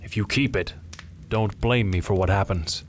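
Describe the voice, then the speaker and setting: A man's voice: lowish voice, normal speed, a little hiss or hum, close to an everyday voice. A man voicing a grave, weary middle-aged sergeant major, terse and solemn.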